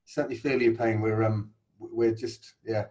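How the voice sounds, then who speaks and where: A man hosting a podcast interview, chatting easily and asking relaxed questions. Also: on the low side, normal speed. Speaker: podcast host.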